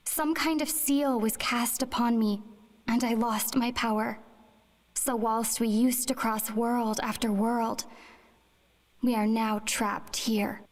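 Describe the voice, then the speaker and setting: A woman's voice: fairly high pitch, fairly even intonation, slow pace. A woman playing a young, sombre and determined traveler narrating her story in a video game cutscene. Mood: sombre, resolute.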